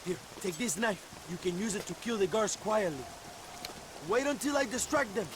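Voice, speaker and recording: An actor playing a grandiose, self-important Mexican revolutionary leader in a game cutscene, with a Mexican accent and theatrical, halting delivery. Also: male voice, high voice, animated, noisy background. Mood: conspiratorial. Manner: pompous, theatrical, halting repeats. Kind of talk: video game cutscene acting.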